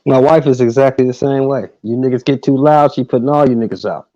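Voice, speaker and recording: A man on a panel, bantering loudly and casually. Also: medium pitch, a little fast, no echo, lo-fi sound. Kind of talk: casual chat.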